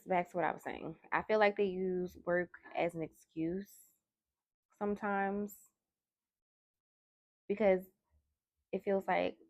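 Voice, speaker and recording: A soft-spoken woman doing a tarot card reading, talking in a slow, musing way. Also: on the low side, no echo, studio quality. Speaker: tarot reader. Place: indoors at a table.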